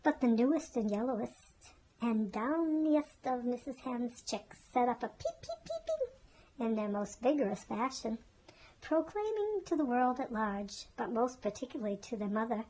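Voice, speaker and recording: Woman reading a children's story, higher voice. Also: big pitch swings, a little slow, dark tone, a little hiss or hum, poor audio.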